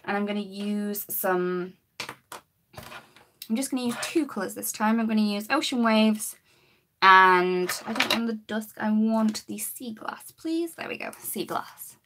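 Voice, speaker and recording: A woman, her voice a bit croaky, demonstrating coloring with markers in a chatty live-stream lesson. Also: medium pitch, a little slow, noisy background. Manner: chatty, talks while working, greets viewers. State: slightly croaky voice.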